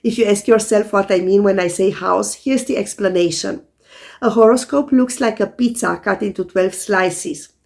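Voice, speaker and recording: Hoarse woman astrologer with a gravelly voice. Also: mid-range voice. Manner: calm, methodical explaining to viewers. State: hoarse from a sore throat. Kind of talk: scripted explanatory video narration.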